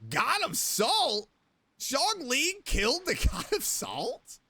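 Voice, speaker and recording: A woman's voice: high-pitched, huge pitch swings, slow pace, background noise. A woman giving casual playthrough commentary as a streamer, reacting in a joking, mock-tough way.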